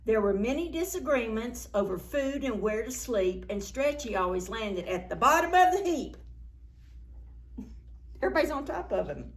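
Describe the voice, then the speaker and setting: A woman's voice: a little high, lively intonation, normal speed. A woman reading a picture book aloud to preschoolers as a story time host, expressively, with asides and questions to her young listeners.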